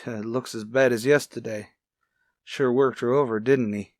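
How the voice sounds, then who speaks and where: A man playing a calm, sober police detective partner in a radio crime drama, low-key and matter-of-fact. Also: normal speed, no echo, studio quality, close to an everyday voice. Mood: grim. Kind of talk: old-time radio crime drama acting.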